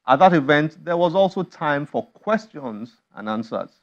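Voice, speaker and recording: A man presents a TV segment in scripted narration. His voice sits a little high, and the sound is slightly dull. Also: animated, moderate pace, slight background noise. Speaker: television programme presenter.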